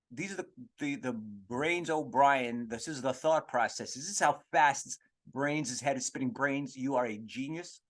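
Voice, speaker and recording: A man hosting a podcast, giving casual comedic commentary in a rambling, halting way with crude slang. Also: mid-range voice. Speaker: podcast host.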